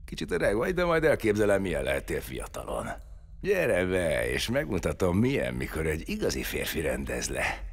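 A low, leering, cocky killer. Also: male voice, wildly swooping pitch, gravelly, slightly rough, full character voice. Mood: lewd, boastful. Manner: smug, mocking, leering. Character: cocky, lewd, taunting male prisoner and killer. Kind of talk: audio drama acting.

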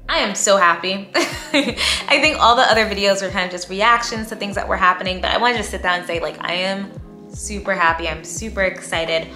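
A woman, chatting in a bubbly, talkative way as she vlogs to camera in a bright room at home. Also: medium pitch, reverberant. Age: 30s. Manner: bubbly, chatty, talks with her hands. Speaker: lifestyle vlogger. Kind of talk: casual talk to camera.

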